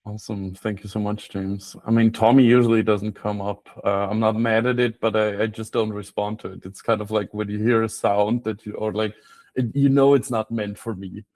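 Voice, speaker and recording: A man's voice: lowish voice, steady tone, normal speed, a little mellow, dry recording. Austrian guy doing a casual presentation, dry close recording, kinda self-deprecating and jokey. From Graz, Austria. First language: German. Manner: self-deprecating, wry joking. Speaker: guest speaker, freelance copywriter. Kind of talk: informal slide presentation.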